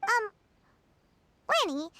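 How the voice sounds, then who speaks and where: A woman voicing a small, childlike fairy companion in an anime video game, cheerful and excitable, with a squeaky voice and perky manner. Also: very slow, no room sound, heavily acted. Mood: excited. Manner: speaks of self in third person, perky.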